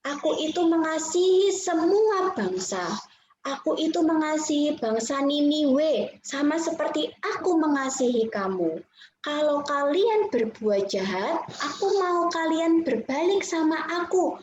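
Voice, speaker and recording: A woman's voice: high voice, expressive, unhurried, a little mellow, pitched above the performer's own voice. A woman voicing a loving, patient male God in a children's Bible story, speaking tenderly and with emphasis. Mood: tender, earnest. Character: loving, patient male God gently correcting his prophet. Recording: muffled, echoey room with background noise.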